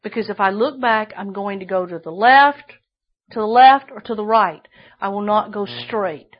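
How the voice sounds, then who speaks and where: A woman preaching a sermon with a shrill voice, emphatic and repeating phrases for stress. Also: normal speed, muffled recording.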